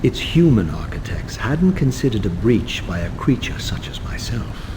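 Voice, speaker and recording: An actor playing a vengeful undead male vampire wraith, speaking in a grim, sardonic, measured way for a video game. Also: lowish voice, sing-song, background noise. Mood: brooding. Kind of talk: video game voice acting and narration.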